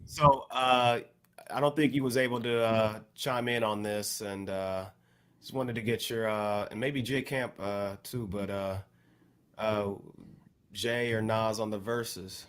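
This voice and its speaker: A man's voice: fairly low pitch, steady tone, unhurried, smooth. A man co-hosting a podcast, speaking in casual chat and putting questions to the others. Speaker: podcast co-host.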